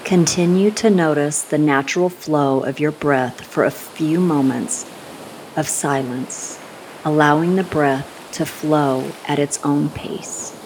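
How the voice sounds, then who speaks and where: A woman with a low-pitched voice leads a guided meditation. She takes her time, speaking slowly and soothingly with gentle instructions and long pauses. A faint rumbling hiss sits behind her voice. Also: poor audio.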